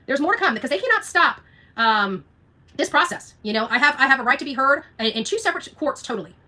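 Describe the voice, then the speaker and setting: Fast, high-pitched, combative woman livestreamer. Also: animated, sharp tone. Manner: combative, emphatic, repeats phrases and rhetorical questions. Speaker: livestream host responding to viewer comments. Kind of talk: unscripted livestream rant, argumentative.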